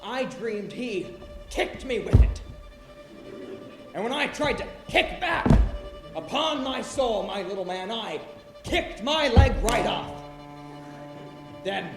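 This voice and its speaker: A high-voiced, jesting seaman acted by a man on stage, fearless and teasing. He takes his time over archaic, rhetorical lines in an echoey auditorium with faint music. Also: lively intonation, slow, pauses often, heavily acted. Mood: wry storytelling. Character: jovial, fearless, teasing whaling second mate, male. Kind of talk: theatrical stage acting, archaic sailor speech. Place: college auditorium stage, live performance.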